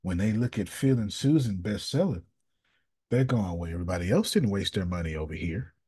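Man, coach, low husky voice, emphatic, slangy, repeats himself, video call. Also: brisk. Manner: animated and emphatic, with slangy, repetitive phrasing. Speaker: business coach and host. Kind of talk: casual coaching chat on a group call.

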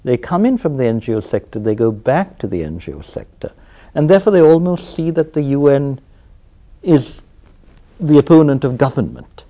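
Sri male host, expressive pitch, mellow tone; long studio questions. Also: moderate pace. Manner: animated, questioning, with hesitant fillers. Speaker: interview host. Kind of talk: formal studio interview. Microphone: lapel.

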